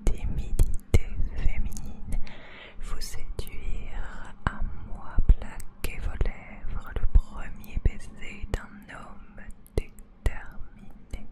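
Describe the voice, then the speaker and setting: A woman reading poetry as ASMR in a breathy whisper, with deliberate, expressive phrasing and long pauses.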